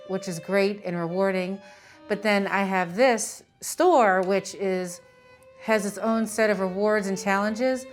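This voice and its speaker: A middle-aged American woman talking casually in an interview as a small business owner. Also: medium pitch. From Philadelphia, United States. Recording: music playing behind the voice. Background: string music.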